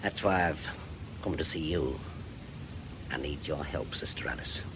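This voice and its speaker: A man's voice: very deep voice, unhurried. A man playing an older retired policeman in a radio drama, vengeful, self-important and emphatic, on a noisy recording. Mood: bitter, determined.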